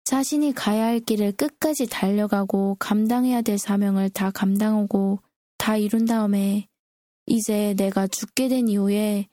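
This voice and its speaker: A woman's voice: mid-range voice, steady tone, moderate pace, dark tone, no room sound, clean studio sound. A woman speaking politely and reflectively as a co-host in a prepared-sounding Bible discussion. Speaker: co-host discussing scripture with a pastor.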